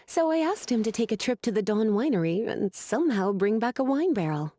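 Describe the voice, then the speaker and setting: A woman's voice: fairly high pitch, sing-song, a little fast, dark tone, no echo, studio quality. A woman playing a teasing, persuasive scholar with a coaxing, playful manner in an anime game. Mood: reassuring, amused.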